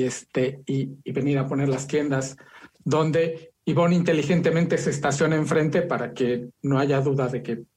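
A man's voice: a little high, darkish tone. A man with a Mexican accent co-hosting a radio show, thanking a guest and leading into the next segment. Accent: Mexican Spanish. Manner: friendly, uses "este" fillers. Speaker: radio co-host.

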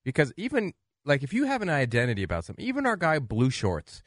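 A man's voice: a little high, wildly swooping pitch, fast, dry recording, studio quality. A man on a sports radio panel chatting casually, starting and restarting as he tells a story. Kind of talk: casual chat. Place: radio studio. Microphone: close studio microphone.

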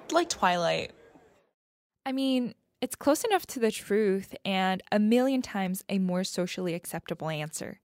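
A woman's voice: medium pitch, no room sound, clean studio sound. A young woman hosting a podcast with scripted, confiding and playfully dramatic personal narration. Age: young adult. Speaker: podcast host.

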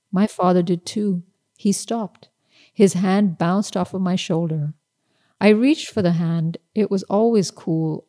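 Woman author reading her story, low mellow voice, calm and measured. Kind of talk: literary reading aloud.

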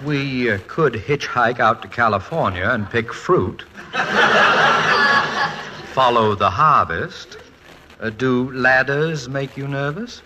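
An American actor playing a witty, proud, fretful middle-aged college president and husband in a wry, articulate 1940s radio comedy-drama style. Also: male voice, mid-range voice, expressive, slow, long pauses. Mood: wry, resigned. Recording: noisy 1940s radio recording.

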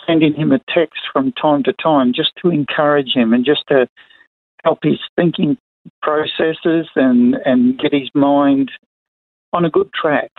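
A man's voice: fairly high pitch, no echo. Older Australian man on a phone-like line. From far north Queensland, Australia. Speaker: interview guest, retired sugarcane farmer. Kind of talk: conversational interview answers. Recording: narrow phone-like line, dry.

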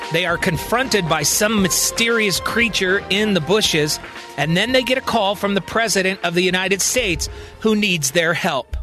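A man's voice: sits high, sharp tone. A man telling a serial science-fiction story as a storyteller, in dramatic scripted narration. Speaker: storyteller, podcast host. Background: music.

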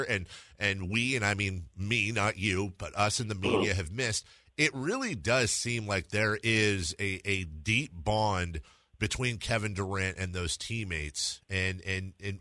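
Male sports talk host, low and forceful, wordy interviewer, dry close studio sound. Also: no echo.

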